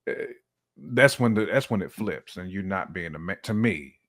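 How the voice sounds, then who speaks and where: A man's voice: low voice, animated, moderate pace, a bit bright. A man giving his opinions in casual podcast conversation, restating his points with emphasis. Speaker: podcast speaker.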